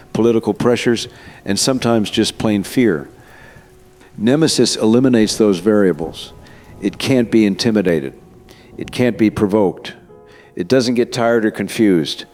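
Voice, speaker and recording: Guy playing a confident scientist getting interviewed in an audio drama, earnest, lowish voice, music under it. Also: a little mellow, natural voice. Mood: assured, earnest. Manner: assured, earnest, persuasive explaining. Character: male scientist, confident, earnest architect of AI system. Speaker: interviewed expert.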